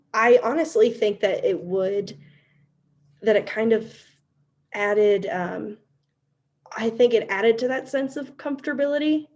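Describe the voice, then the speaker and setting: A woman speaking casually and haltingly in an online panel discussion, thoughtful and hesitant as she goes. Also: a little high, darkish tone. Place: online video call.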